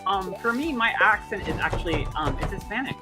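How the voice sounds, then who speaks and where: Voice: mid-range voice, lively intonation, a little fast. A co-streamer talking candidly during a casual gaming chat, hesitant and full of ums. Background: game music.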